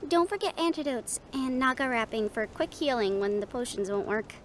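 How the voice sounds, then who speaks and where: A woman playing a lively, eager young fighter in a fantasy audio roleplay, playful and expressive with a touch of anxiety. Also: on the high side, normal speed, a little hiss or hum, natural voice. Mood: curious, uneasy.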